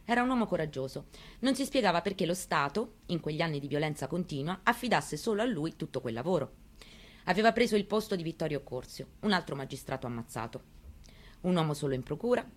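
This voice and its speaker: A fairly low female voice of an actress playing an elderly mother who has lost her son. She delivers a theatrical monologue, intimate and deliberate, grieving yet resolute. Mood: bitter, measured. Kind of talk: dramatic monologue, theatrical reading.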